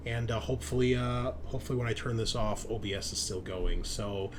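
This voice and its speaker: A man hosting as game master, improvising narration and chat in a deliberate, suspenseful storyteller's way. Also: fairly low pitch, background noise.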